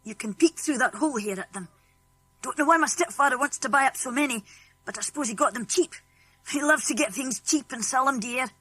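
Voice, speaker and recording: A woman acts a friendly British farm boy for a children's audiobook. The voice is high and forward, with a husky edge, frank and keen in manner. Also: fairly quick, bright, forceful tone, faint music behind. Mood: chatty. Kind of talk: children's audiobook character acting.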